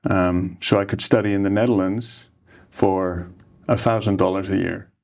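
A man in his forties, a plastic surgeon, telling his life story in a relaxed interview, speaking easygoingly with many hesitations into a table microphone. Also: deep voice, moderate pace, vocal fry. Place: ornate room, seated interview. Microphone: studio microphone on table.

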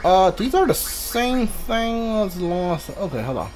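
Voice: medium pitch, big pitch swings, slow, darkish tone, slight background noise. A video game streamer giving casual playthrough commentary, muttering to themselves while thinking aloud. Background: game music.

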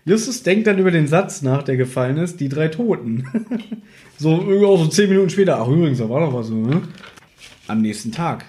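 A man's voice: fairly high pitch, expressive, fairly quick, dark tone. A male podcast host casually talking through and retelling an audio drama episode.

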